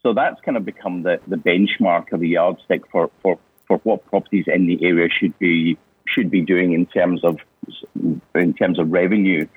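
Scottish man, very deep husky voice, tinny phone line, hesitant. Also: fairly even intonation, normal speed, no echo. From Scotland. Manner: hesitant, many restarts and fillers. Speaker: interview guest, business owner. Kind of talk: casual interview answers. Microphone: phone call.

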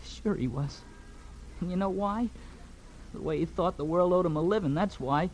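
An actor playing an earnest, hurt kid who sells papers, with an American accent and halting delivery, in 1940s radio drama style. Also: male voice, high-pitched, natural voice. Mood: subdued, earnest. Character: earnest, hurt working-class newsboy, a kid. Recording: noisy vintage radio recording.

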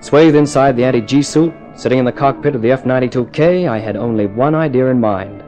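A man's voice: medium pitch, brisk, mellow, close to an everyday voice. Cool, wry 1950s radio test pilot, played by a man. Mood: tense, wry narration. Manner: dramatic, clipped, wry storytelling. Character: cool, wry male jet test pilot narrating his adventure. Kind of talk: 1950s radio thriller narration and acting. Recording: old radio drama with music underneath.

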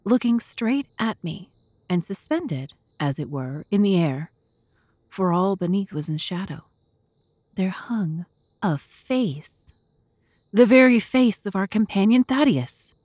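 A woman narrating a classic mystery audio drama in a measured, literary storytelling manner. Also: mid-range voice, animated, a little mellow, no echo, clean studio sound.

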